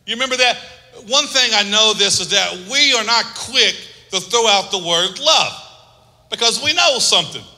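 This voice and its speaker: A pastor delivers a sermon in a voice that rings very bright, slightly high for a man. He calls on listeners to repeat words and drops small jokes. The sound carries a long reverb from a large hall. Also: lively intonation, moderate pace. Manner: preaching with call-and-response and humor.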